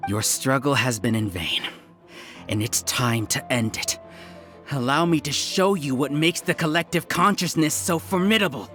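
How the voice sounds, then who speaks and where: An actor playing an arrogant, menacing hive-mind overlord in an anime-style video game, lecturing in a pompous, deliberate manner. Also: male voice, medium pitch, fairly bright tone, lightly acted. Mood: gloating, menacing. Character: arrogant scholar villain, overmind of a hive collective, menacing. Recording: faint music behind the voice.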